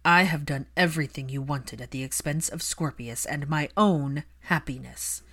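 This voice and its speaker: A woman voicing a bitter adult aristocratic son in an audiobook-style story, cold, angry and biting in a deliberate delivery. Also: deep voice, a bit bright.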